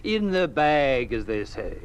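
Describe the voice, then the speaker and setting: An actor playing a genial, evasive institute director, glib and soothing, in a 1950s radio drama. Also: male voice, mid-range voice, big pitch swings, slow. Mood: placating. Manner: soothing, glib reassurance with hedging. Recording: noisy old radio broadcast recording.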